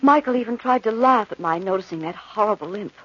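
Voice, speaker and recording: A woman's voice: mid-range voice, quick pace, a bit bright, lightly acted. An actress playing an anxious, devoted young woman full of dread, earnest and melodramatic in old radio horror style. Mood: hushed dread. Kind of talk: old-time radio horror acting and narration. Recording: muffled old radio recording.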